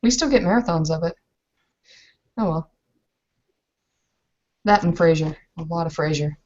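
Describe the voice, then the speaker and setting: A woman's voice: low-pitched, moderate pace, a little mellow, highs cut off. A woman playing a composed, frank Bavarian bride in a tabletop roleplay, hesitating as she searches for words. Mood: explaining, friendly.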